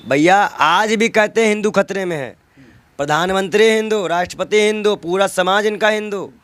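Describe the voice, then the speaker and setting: Male interviewee. High voice, dry sound, combative and emphatic political argument. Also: moderate pace, fairly bright tone, no echo. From North India. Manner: argumentative, emphatic, with rhetorical questions and repetition.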